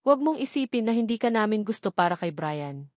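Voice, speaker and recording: A woman voicing a serious, caring, wealthy older lady giving measured advice in an audiobook. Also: medium pitch, very animated, darkish tone, no room sound, studio quality, natural voice. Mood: grave, advising. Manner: grave, measured advising. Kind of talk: audiobook character dialogue.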